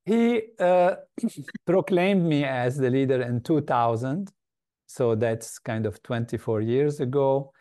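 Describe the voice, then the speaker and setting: Older man from Lebanon, interview guest, thoughtful and measured, warm low-key tone. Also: clear, resonant tone. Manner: measured, thoughtful, with frequent pauses and fillers. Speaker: guest, meditation organization leader. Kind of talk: reflective interview answers.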